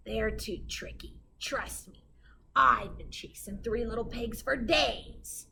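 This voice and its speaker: A woman voicing a sly, starving male storybook wolf in a breathy, furtive whisper. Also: a little high, steady tone, slow, pushed and bright, a little hiss or hum, exaggerated voice. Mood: sly, hushed. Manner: furtive, smooth-talking.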